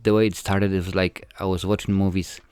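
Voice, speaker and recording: A man's voice: sits low, flat delivery, fairly quick, darkish tone, clean tone, no room sound. A man in his forties from Poland with a Polish accent, a filmmaker answering interview questions in a hesitant way, with many fillers and restarts. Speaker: filmmaker guest.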